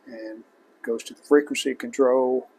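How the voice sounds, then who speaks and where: A man giving a casual repair demonstration, plainspoken and unhurried, speaking in short phrases as he works. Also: mid-range voice, steady tone, darkish tone, slightly rough, low-quality recording. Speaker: host of a vintage electronics repair channel.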